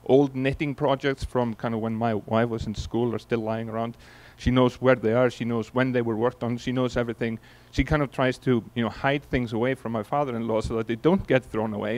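A man's voice: mid-range voice, brisk, few pauses. Icelandic man giving a keynote on stage. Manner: relaxed storytelling with frequent fillers and restarts. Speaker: keynote speaker. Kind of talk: conference keynote talk. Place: large conference hall on stage. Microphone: handheld microphone.

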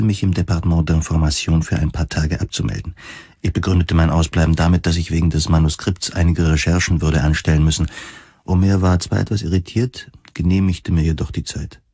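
An actor playing a troubled government reviewer in a dystopian future, narrating a science fiction audio drama in a measured, introspective way. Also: male voice, low voice, a little mellow, breathy edge, no room sound, studio quality. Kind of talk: scripted first-person audio drama narration. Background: music.

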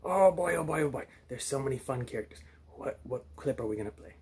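An excitable little furry monster puppet, voiced by a man, chatting on a comic puppet show. He is friendly, childlike and silly, repeating his exclamations eagerly. There is faint noise on the home recording. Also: mid-range voice, fast talker, pitched above the performer's own voice, lightly acted. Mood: excited.

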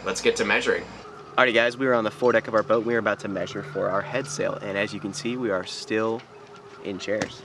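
A young man with a slightly low voice talks easily through each step of measuring his sail, recorded up close on a handheld camera. He is outdoors on a boat in a boatyard, with faint outdoor noise behind him. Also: fairly even intonation, quick pace. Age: young adult. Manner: easygoing, explaining step by step. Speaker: sailing vlog co-host. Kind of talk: casual how-to vlog.

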